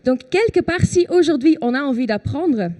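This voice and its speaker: A woman's voice: high voice, animated, normal speed, dark tone. A Dutch woman speaking French with a Dutch accent, giving a conference talk on a trade show stage and often correcting herself. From Netherlands. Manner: explanatory, with many fillers and self-corrections.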